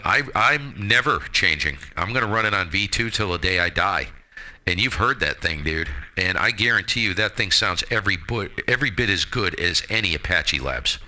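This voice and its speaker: Male, low and very bright, forceful voice; opinionated, emphatic, casual ham radio talker. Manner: blunt, emphatic, slangy. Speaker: amateur radio operator hosting a live stream.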